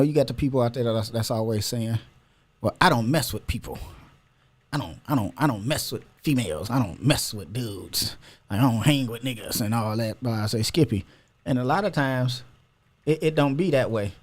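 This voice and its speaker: A man's voice: medium pitch, moderate pace. A man hosting a casual podcast, talking loosely and opinionated with lots of slang. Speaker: podcast host.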